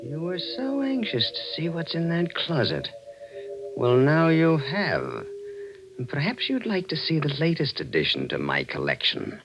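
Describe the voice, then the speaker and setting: Sinister, controlling villain with swooping intonation. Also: male voice, fairly high pitch, huge pitch swings, vocal fry, soft background music, exaggerated voice. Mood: taunting, menacing. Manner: formal, deliberate, menacing. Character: sinister obsessed murderous man, menacingly polite, coolly controlling. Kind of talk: 1940s radio mystery acting. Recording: dull old radio recording.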